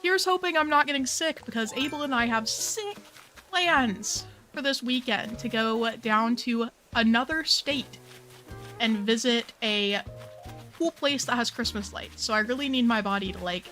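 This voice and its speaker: Young female streamer, chatty and bubbly, slightly worn voice, soft game music under her. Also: on the high side, a little slow. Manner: chatty, bubbly, says "like" a lot. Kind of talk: casual game stream chat.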